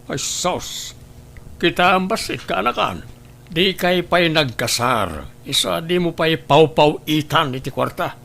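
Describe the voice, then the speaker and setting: A man acting a blunt, cynical older uncle who nags and scolds in an Ilocano audio drama, his voice gravelly. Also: a little high, lively intonation, measured pace, fairly bright tone, heavily acted. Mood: scolding, disapproving.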